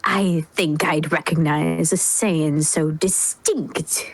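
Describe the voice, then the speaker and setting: A woman voicing a haughty, cold male alien overlord in a measured, disdainful manner. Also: low voice, fairly even intonation, gravelly, husky, dry recording, exaggerated voice.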